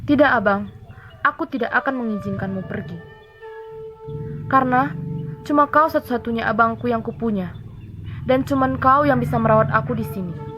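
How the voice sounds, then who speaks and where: A woman's voice: fairly high pitch, moderate pace, long pauses, lightly acted. A woman voicing a clinging, worried younger sibling in a folktale, pleading insistently. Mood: pleading refusal. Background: music behind the voice.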